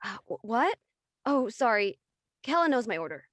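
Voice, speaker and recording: A woman plays a studious high school girl who is stressed and easily exasperated. Her voice is fairly high and closely recorded, serious and testy, as in an audio drama. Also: very animated, moderate pace, no echo. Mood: preoccupied. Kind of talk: online dramatic table read.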